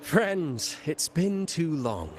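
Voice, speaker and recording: An actor voicing a theatrical, scheming male playwright in a game cutscene, telling a dark tale with teasing, dramatic flair. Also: on the high side, very animated, very slow, a little hiss or hum, exaggerated voice. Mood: sly. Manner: dramatic, teasing, mysterious storytelling. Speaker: game character.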